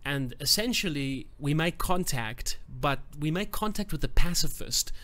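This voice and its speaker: A man's voice: fairly high pitch, expressive, unhurried, a bit bright. A man giving a casual book review to camera, thoughtful and searching for words, growing enthusiastic.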